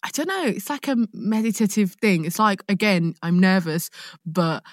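A woman with a London accent, an actor being interviewed, telling anecdotes in casual, animated chat and acting out her own thoughts. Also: medium pitch, normal speed, no room sound, studio quality. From London, England, born in Nigeria. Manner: animated storytelling with self-quoted asides.

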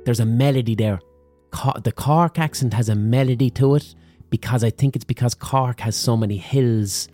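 A man's voice: mid-range voice, moderate pace. Middle-aged Limerick man, comic podcast host, swears freely, slips into put-on accents, faint music behind. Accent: Limerick, Irish. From Ireland. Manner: sweary, animated storytelling with mock accents. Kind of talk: comic monologue.

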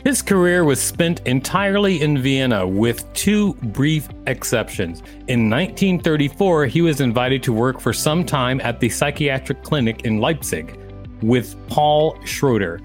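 A man's voice: mid-range voice, animated, unhurried, soft background music. A man co-hosting a podcast, reading an article aloud and dropping casual, joking asides.

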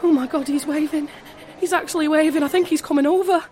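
An actress playing a sweet, cheerful, excitable younger sister with a girlish, eager manner in an audio drama. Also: sits high, fast, soft background music, lightly acted. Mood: giddy, excited. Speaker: supporting actor.

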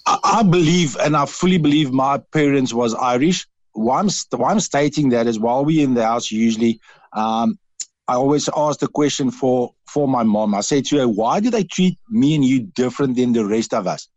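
A man's voice: mid-range voice, animated, normal speed, dry recording, lo-fi sound. A man from South Africa with a South African accent, calling in to a radio interview and talking earnestly, with frequent halts and restarts. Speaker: radio caller.

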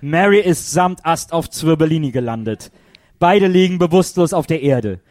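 A man's voice: on the high side, lively intonation, moderate pace, darkish tone, no room sound. A man narrating a radio play in a dramatic, scripted storytelling style.

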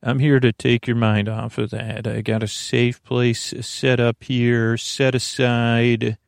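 Creaky-voiced man rambling softly through bedtime podcast. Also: mid-range voice, level tone, dry recording, studio quality. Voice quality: creaky, gravelly. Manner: meandering, halting, full of filler words and tangents. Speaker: podcast host.

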